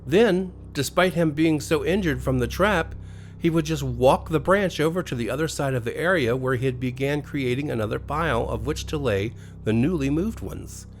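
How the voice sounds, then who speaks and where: A man with an American accent reading a scripted bedtime horror story in an expressive, dramatic way. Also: mid-range voice, normal speed, a little hiss or hum. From USA. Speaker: storyteller, narrator. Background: faint music.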